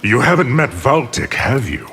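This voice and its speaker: An actor playing an arrogant, scheming evil sorcerer in a video game, with a haughty, menacing, theatrical delivery. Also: male voice, mid-range voice, expressive, normal speed, very noisy, natural voice. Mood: cold menace. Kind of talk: video game villain dialogue. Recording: echoing hall reverb over music.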